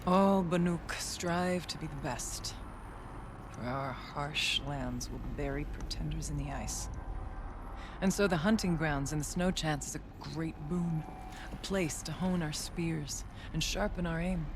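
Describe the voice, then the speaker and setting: A male actor playing an earnest, solemn shaman-hunter of a frozen land in a video game, speaking in a measured, formal way. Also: fairly high pitch, noisy background, natural voice. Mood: solemn, proud. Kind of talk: video game cutscene dialogue.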